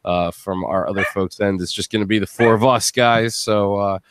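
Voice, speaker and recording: A man with a fairly low voice hosts a casual podcast panel. He is jokey and easygoing in his wording, chatting informally with guests. The recording carries faint noise and small clicks. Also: steady tone, normal speed. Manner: jokey, prone to laughing. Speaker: podcast host and voice actor.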